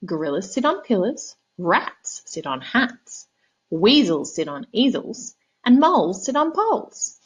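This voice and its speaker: A woman voicing a bossy, smug male cat in a children's storybook, instructing in emphatic, drawn-out rhymes. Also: medium pitch, big pitch swings, slightly rough. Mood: bossy, impatient.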